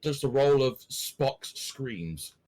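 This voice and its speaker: Man with lively, swooping intonation. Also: medium pitch, slow pace, poor audio. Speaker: podcast guest. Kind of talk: casual film podcast chat.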